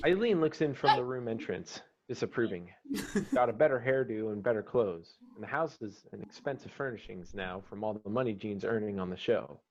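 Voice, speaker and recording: A man's voice: fairly low pitch, very animated, normal speed, dull audio. A man reading screenplay stage directions as a narrator, terse and declarative.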